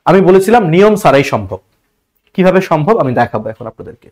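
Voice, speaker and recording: A man's voice: fairly high pitch, very animated, fast talker, a little mellow. A man with a Bangladeshi accent teaching a grammar lesson step by step, repeating points and posing rhetorical questions. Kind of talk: instructional lesson for exam students.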